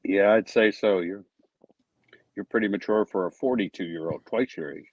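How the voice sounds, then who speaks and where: A man's voice: fairly low pitch, moderate pace, dry recording. A man in his sixties from the Pittsburgh area, joking and telling stories in an easygoing group chat. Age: 60s. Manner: easygoing, joking, leisurely storytelling. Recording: dull lo-fi voice chat audio.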